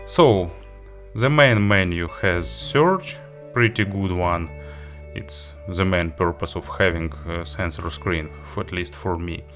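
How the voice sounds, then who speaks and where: A man giving a product review walkthrough, halting a little as he lists features item by item. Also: low voice, a bit bright, soft background music. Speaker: tech reviewer demonstrating an audio player.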